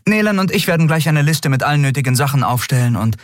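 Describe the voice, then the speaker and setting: A man voicing a young woman who captains an airship, speaking in a brisk, wry way. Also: fairly high pitch, animated, dry recording, clean studio sound, pitched above the performer's own voice, lightly acted.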